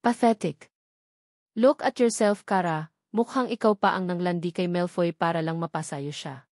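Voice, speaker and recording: A woman's voice: on the low side, moderate pace, a little mellow, no room sound, studio quality, close to an everyday voice. A woman voicing a haughty young female rival, affected and scornful, in a romance drama narration. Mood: mocking.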